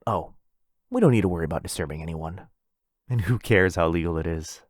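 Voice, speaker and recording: A man's voice: low-pitched, a little fast, no echo, studio quality. A man playing a lonely, possessive, rich vampire brother in a boyfriend ASMR roleplay, insistent and coaxing. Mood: eager, inviting.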